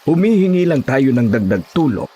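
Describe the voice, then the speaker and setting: A man playing an earnest, respectful husband seeking help, with a husky voice, in a horror audio drama. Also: medium pitch, big pitch swings, moderate pace, dark tone. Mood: firm, persuading.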